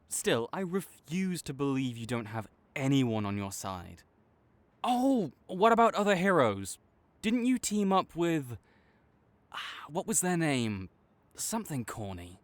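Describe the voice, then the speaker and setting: A man acting a cocky, teasing but secretly caring supervillain rival in a dramatic audio roleplay, bantering and exasperated. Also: medium pitch. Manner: bantering, exasperated, faltering into awkward concern.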